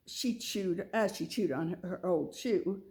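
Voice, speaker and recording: A woman's voice: on the low side, unhurried, mellow. A woman reading a picture book aloud to children in a storytelling style.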